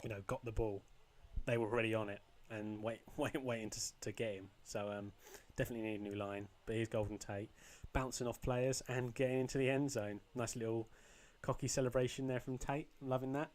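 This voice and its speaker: A young man with a slightly croaky voice, casually commentating his own football video game match, rambling with ums and restarts. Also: mid-range voice, steady tone, brisk, darkish tone. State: a bit croaky. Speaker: gaming channel host.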